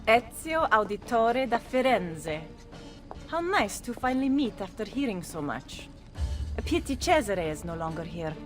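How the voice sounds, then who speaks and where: A woman's voice: on the high side, sing-song, normal speed, faint music behind. An actress playing a haughty, scheming noblewoman in a video game. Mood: mocking. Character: haughty, scheming Italian noblewoman villain, silky and taunting.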